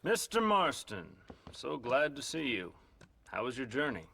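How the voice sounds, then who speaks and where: Prim, animated agent. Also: male voice, medium pitch, a little slow, creaky, slight background noise, exaggerated voice. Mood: polite. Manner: polite, officious. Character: prim, placating junior government agent. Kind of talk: video game cutscene acting.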